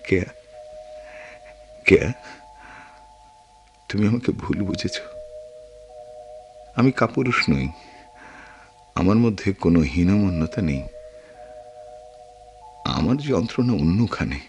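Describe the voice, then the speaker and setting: A melancholy, guilt-laden male war veteran with a Bangladeshi accent, in radio drama style. His voice sits very low and moves slowly, brooding and halting between phrases. Music plays behind him. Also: expressive, heavily acted. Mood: anguished. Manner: brooding, halting, dramatic delivery with long pauses. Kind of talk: Bengali radio drama acting.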